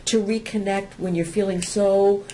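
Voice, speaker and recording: A woman's voice: mid-range voice, little pitch movement, normal speed, warm tone, a little hiss or hum, rough recording. A woman from Massachusetts with a light New England accent, co-hosting a casual chat and laughing often as she talks. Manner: chatty, laughs often, restarts sentences. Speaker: podcast co-host.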